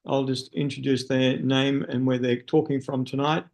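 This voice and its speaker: A man's voice: medium pitch, level tone, moderate pace, gravelly, darkish tone. A man with an Australian accent hosting an online symposium over a video call, friendly, with frequent fillers and restarts. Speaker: webinar host. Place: speaking from a room in a city at night.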